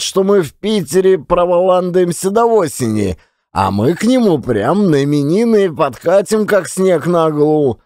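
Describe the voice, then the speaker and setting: An actor playing a big, brash, rich Siberian gold-mine owner with a bluff, boisterous manner. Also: male voice, sits high, a little mellow, dry recording, clean studio sound, pitched above the performer's own voice.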